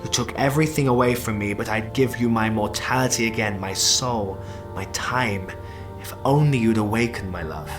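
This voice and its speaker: A man's voice: on the low side, moderate pace, natural voice. A man acting a swaggering, wry immortal rogue with ornate, theatrical phrasing in an audio drama. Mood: grieving, tender. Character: sardonic, swaggering immortal rogue, tender in love. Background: prominent music.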